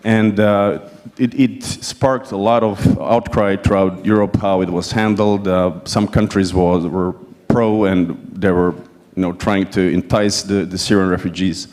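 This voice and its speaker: A man with a Croatian accent chairs a conference panel, giving formal opening remarks in a halting, hesitant way and often repeating words. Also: low-pitched, steady tone, darkish tone. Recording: echoey hall with long reverb.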